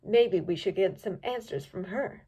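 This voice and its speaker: A woman's voice: medium pitch, measured pace, mellow, slightly rough, poor audio. A woman voicing a stern, haughty female pony sorceress who is easily exasperated. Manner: imperious, exasperated, clipped.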